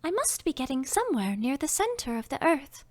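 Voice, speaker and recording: A woman's voice: sing-song, moderate pace, no room sound. Alice, a woman doing a curious little girl. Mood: wondering. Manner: high, wondering, talking to herself.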